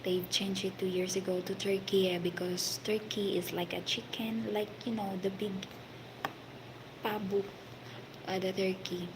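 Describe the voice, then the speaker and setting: A woman with a Filipino accent recounts a story at a slow, unhurried pace, stopping often on fillers and sometimes sinking into a half-whisper. Steady background noise sits clearly under her voice in this casual recording. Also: medium pitch. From Philippines. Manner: halting, many fillers, partly whispered. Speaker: hospitality worker telling a story. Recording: noisy background.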